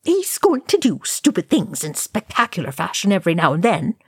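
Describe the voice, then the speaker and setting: A woman's voice: fairly low pitch, huge pitch swings, fairly quick, slightly rough, no room sound, studio quality, heavily acted. A woman voicing an anguished, hot-tempered old male dwarf ghost, emphatic and fraught, in a storybook reading. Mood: snappish. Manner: pleading, snappish, emphatic.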